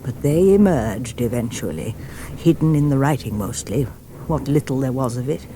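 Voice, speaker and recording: Bright, brittle upper-class English mother. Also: extremely low pitch, lively intonation, normal speed, slight background noise. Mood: bitter. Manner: arch, dismissive. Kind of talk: radio play acting.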